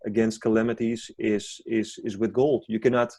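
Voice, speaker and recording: Man, Dutch accent, non-native English, low pitch, dry close sound, explanatory, word-searching. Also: flat delivery, moderate pace, creaky, a little mellow, dry recording. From Netherlands. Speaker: gold market analyst guest. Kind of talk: interview conversation.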